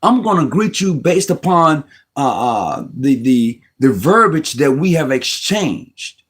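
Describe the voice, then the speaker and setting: A man speaking as host of an informal talk, pressing his view with emphasis. His voice sits a touch high, and he stumbles and restarts words as he reasons aloud. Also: very animated, slow, fairly bright tone, breathy edge. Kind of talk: casual Masonic discussion.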